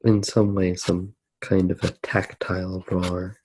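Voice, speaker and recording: A man narrating his drawing for ASMR in a soft-spoken, unhurried way, musing aloud as he works. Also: sits low, monotone, background noise. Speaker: ASMR artist.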